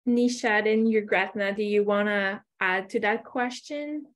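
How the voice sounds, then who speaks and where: Slow-paced young woman moderating. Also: medium pitch. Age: young adult. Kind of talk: informal online panel moderating. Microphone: wired earphones on video call.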